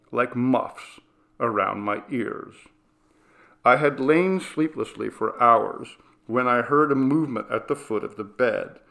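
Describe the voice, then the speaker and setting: Slow, gravelly male story reader. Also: mid-range voice, fairly bright tone. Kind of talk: scripted ghost story narration.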